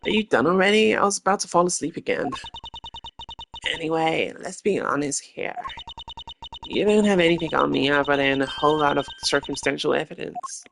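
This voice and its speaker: A man's voice: on the high side, a little fast, creaky, fairly bright tone, a little hiss or hum, voice pushed up. A man voicing a drowsy, smug female flight attendant with a languid, dismissive manner. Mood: bored, smug.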